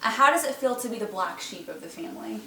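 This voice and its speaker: A woman's voice: mid-range voice, animated, fast, sharp tone. Giggly thirty-something woman, echoey room. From Texas. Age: 30s. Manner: laughs often, chatty and giggly. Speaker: host. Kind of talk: casual sibling Q&A chat. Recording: echoey room, mic at a distance, faint noise.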